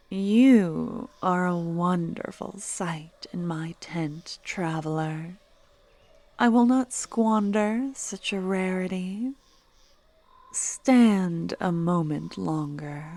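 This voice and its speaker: Woman doing a teasing fortune teller lady, slow soft whispers, lowish mellow voice, real flirty. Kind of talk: ASMR roleplay.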